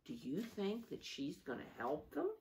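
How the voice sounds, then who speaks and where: A low-voiced woman reads a children's story aloud at a slow pace. Her delivery is expressive and very melodic, with asides to her young listeners. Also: a little hiss or hum. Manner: expressive, asking listeners questions. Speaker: storybook reader.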